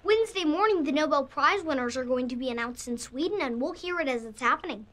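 High-pitched, precise young boy. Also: animated, fast talker, sharp tone, full character voice. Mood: eager explaining. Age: child. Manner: precise, formal, insistent. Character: earnest, precocious young science-obsessed boy. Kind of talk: sitcom acting.

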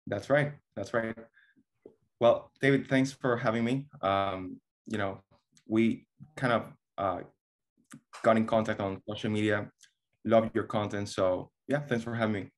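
A man's voice: on the low side, fairly even intonation, measured pace. Young man. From Venezuela. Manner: earnest, hesitant restarts, many fillers. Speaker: guest, online fitness coach. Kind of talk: casual video-call interview. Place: small room at home. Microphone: webcam or laptop mic on a video call.

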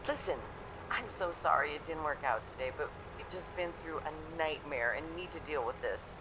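A woman's voice: low voice, normal speed, noisy background, natural voice. A woman playing a shaken, apologetic woman on the run in an audio drama. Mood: distressed.

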